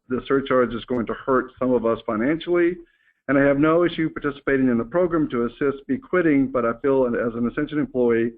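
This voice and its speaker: A man's voice comes through a thin, band-limited phone conference line, at a middling pitch. He presents like an executive addressing staff, in an informal business style. His delivery is candid and conversational. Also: narrow, tinny audio. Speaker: company executive hosting a staff call. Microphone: conference call phone line.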